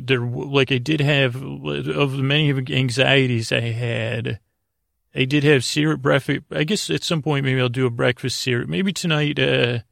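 A middle-aged man with a creaky, gravelly voice hosts a sleep podcast, rambling in a halting, meandering bedtime monologue that keeps interrupting itself. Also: mid-range voice, fairly bright tone, dry recording, studio quality. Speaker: podcast host.

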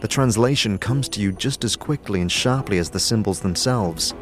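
A man narrating a fantasy audio drama in a measured, scripted storytelling style. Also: lowish voice. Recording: music behind the voice.

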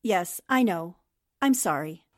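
A woman playing a confident, sharp-witted newspaperwoman sleuth in 1940s radio drama style, brisk and knowing. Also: fairly low pitch, lively intonation. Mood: composed.

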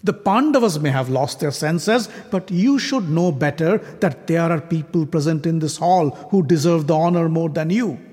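A man's voice: a little high, darkish tone, pitched above the performer's own voice, lightly acted. A man voicing a furious, arrogant king who hurls scornful insults in a retold legend. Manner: scornful, ranting accusations. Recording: long hall reverb.

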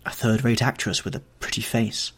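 A man playing a cold, scornful young gentleman. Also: fairly low pitch, fairly even intonation, moderate pace, breathy edge. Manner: bitter, disdainful.

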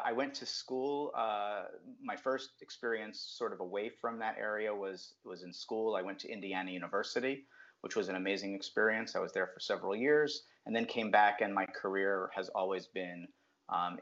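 A man's voice: fairly low pitch, moderate pace, a bit bright. A middle-aged man from New Jersey, talking casually as an interview guest with many filler words. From New Jersey, USA. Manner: reflective, frequent um fillers. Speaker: guest, brand strategist. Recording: dull lo-fi audio.

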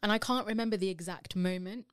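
A British woman co-hosting a casual podcast, chatting in a relaxed way and asking her co-host questions. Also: medium pitch, quick pace, clean tone, no echo, studio quality. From Britain. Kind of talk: casual chat.

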